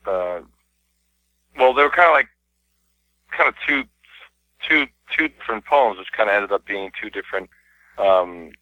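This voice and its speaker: A man from the United States, a poet guest in a casual interview, talking in a reflective, hesitant way with slow pauses. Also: lowish voice, no room sound. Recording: dry, dull lo-fi radio audio.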